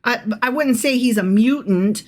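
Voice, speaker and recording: A woman's voice: on the high side, normal speed, slight background noise. A woman co-hosting a podcast in a casual, irreverent, sardonic style, commenting freely with some swearing. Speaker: podcast co-host. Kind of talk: casual Bible-study chat, reading aloud and commenting.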